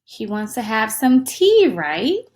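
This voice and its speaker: A woman's voice: on the high side, very animated, slow, darkish tone. A woman reading a picture book aloud to young children in an interactive, teacherly way, often putting questions to the listener.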